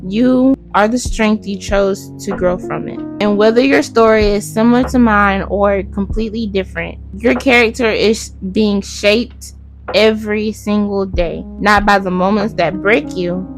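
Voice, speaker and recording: A woman with a hoarse voice talking earnestly to her viewers in her room while she crochets. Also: medium pitch, measured pace, music in the background, poor audio. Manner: earnest, soft-spoken, addresses viewers directly. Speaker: crochet vlogger. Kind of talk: heartfelt casual talk to viewers while crocheting.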